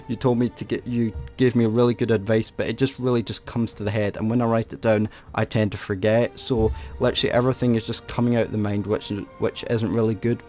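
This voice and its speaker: A man's voice: lowish voice, darkish tone. A man from the UK, hosting a gaming video and talking off the cuff. He rambles with many restarts and self-corrections, and sounds aggrieved about feeling ripped off. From United Kingdom. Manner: complaining, aggrieved. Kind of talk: unscripted gaming commentary to camera.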